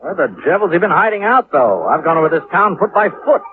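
A man's voice: high-pitched, expressive, fast talker. A man playing a dogged, earnest small-town lawman in a 1950s radio drama, plain-spoken and intent. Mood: puzzled, intent. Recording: noisy, narrow-band old 1950s radio recording.

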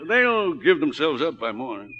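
A genial, folksy old hotel owner in a vintage radio drama. He is excitable, with a high, swooping, bright voice, heard through a dull 1940s broadcast. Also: moderate pace, heavily acted. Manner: folksy, excitable, rustic drawl.

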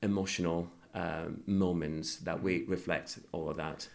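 A man from Sicily, an actor being interviewed, talking casually with many pauses and restarts. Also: low voice, fairly even intonation, creaky. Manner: hesitant, many fillers and restarts. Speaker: actor guest.

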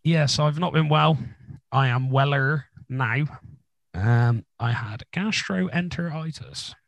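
A man co-hosting a podcast, chatting casually with dry jokes, his voice husky after being ill. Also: fairly high pitch, takes it slowly, no echo. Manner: dry joking, rambling. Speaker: podcast co-host. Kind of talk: casual chat.

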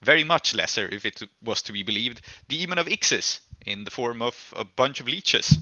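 A man's voice: mid-range voice, expressive, normal speed, pushed and bright, dry recording, dull audio. A man hosting a tabletop roleplaying podcast as game master, recapping the story casually with hesitant, trailing asides.

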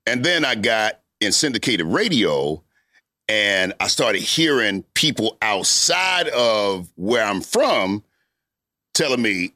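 Deep-voiced middle-aged male host. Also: very animated, slow pace, bright, forceful tone, no echo, studio quality. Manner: laughing, self-mocking storytelling. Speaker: show host. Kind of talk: casual chat.

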